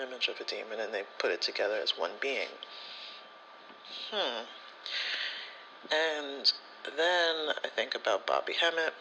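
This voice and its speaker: A man speaks with a very bright, crisp-edged voice that often drops into creaky fry. His pitch moves around in a lively way as he rambles through casual ASMR stories in slangy, profane language. There is faint noise behind him. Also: low-quality recording. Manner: profane, slangy, rambling storyteller who acts out quoted dialogue. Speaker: spiritual content creator.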